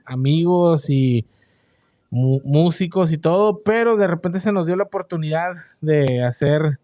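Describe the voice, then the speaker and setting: A man hosts an online radio show in a loose, slangy, joking manner. His voice is slightly high and close to the mic, with a dry, unreverberant sound. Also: warm tone, no echo. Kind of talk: casual online radio interview.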